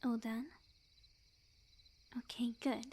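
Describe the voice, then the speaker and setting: A woman acts out a lovestruck witch in an ASMR roleplay, sweet on the surface and possessive underneath. Her voice is soft, very breathy and often whispered, with a mellow tone. She speaks slowly, coy and teasing, fully sure of herself. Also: on the high side, level tone, exaggerated voice. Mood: sweetly coaxing. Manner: slow, coy, teasing, overconfident. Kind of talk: ASMR roleplay, scripted, addressed to the listener.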